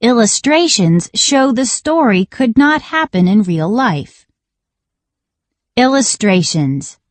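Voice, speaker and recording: A woman reading a scripted children's reading lesson in a deliberate, instructional manner. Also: a little high, animated, no echo. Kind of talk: scripted educational read-along narration for children.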